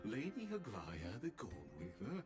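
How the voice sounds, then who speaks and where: Solemn watcher over music. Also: male voice, lowish voice, huge pitch swings, unhurried. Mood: solemn, formal. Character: formal, solemn male watcher reporting observations. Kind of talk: video game story acting. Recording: game audio with music behind.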